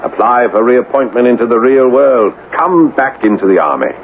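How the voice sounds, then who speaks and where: A man performs a scoffing British major from a colonial radio mystery. He blusters and dismisses with lively swoops of pitch. The sound is narrow and tinny with faint hiss. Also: normal speed, lightly acted. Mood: scoffing, defiant. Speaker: actor in a radio drama. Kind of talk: old-time radio mystery acting. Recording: tinny, noisy old radio broadcast.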